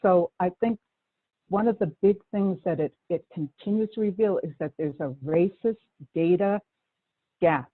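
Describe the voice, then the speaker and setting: A woman's voice: lowish voice, dry recording. Boston-accented woman on a tinny line. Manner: earnest, halting with restarts. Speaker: panelist, Native community organizer. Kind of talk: webinar panel remarks. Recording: tinny phone-like line, faint hiss.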